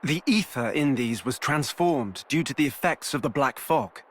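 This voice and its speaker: A man's voice: mid-range voice, lively intonation, normal speed, close to an everyday voice. A man voicing a knowledgeable, wry young inventor in a video game cutscene. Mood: wry, explanatory.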